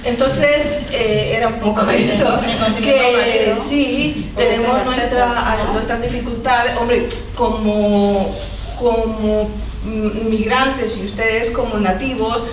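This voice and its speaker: A woman's voice: a little high, fairly even intonation, slow pace, crowd noise. Hesitant woman in an echoey hall. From Colombia, Caribbean coast. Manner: hesitant, searching for words, many fillers and restarts; puts on a mocking voice when quoting others. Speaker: panelist at a debate. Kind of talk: unscripted public talk. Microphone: far from the microphone. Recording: echoey hall, distant mic.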